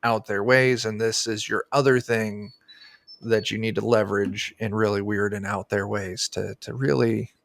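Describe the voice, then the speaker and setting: A man's voice: fairly low pitch, flat delivery, moderate pace, creaky, fairly bright tone. A middle-aged man talking casually as a guest game designer in an interview over a video call. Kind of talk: casual interview chat about a tabletop game. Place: indoor room on a video call.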